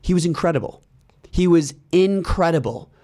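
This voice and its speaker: A man's voice: on the high side, normal speed. A man in his twenties chatting casually as a podcast co-host, telling stories animatedly and acting out what people said. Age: 20s. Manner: animated storyteller, acts out quotes.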